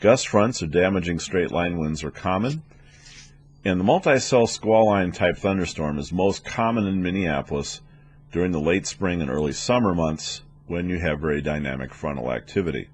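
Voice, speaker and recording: A man's voice: deep voice, moderate pace, slightly rough. A man reading scripted training narration as an instructor, in a methodical, explanatory manner. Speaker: weather instructor for air traffic controllers.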